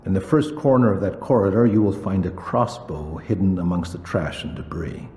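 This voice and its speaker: Dark-voiced, cold, calculating villain. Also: male voice, deep voice, lively intonation. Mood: coolly instructing. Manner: measured, formal, condescending. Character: cold calculating male crooked cop villain, formal and menacing. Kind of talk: video game voice acting. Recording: roomy echo, faint background noise.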